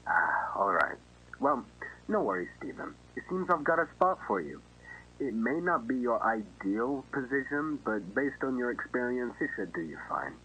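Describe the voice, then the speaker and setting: A man's voice: medium pitch, big pitch swings, normal speed, bright, forceful tone, slightly rough, exaggerated voice, low-quality recording. A man playing a friendly, casual restaurant manager reassuring a job applicant in an acted phone conversation. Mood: upbeat, reassuring. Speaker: voice actor in an analog horror video. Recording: faint noise and hum.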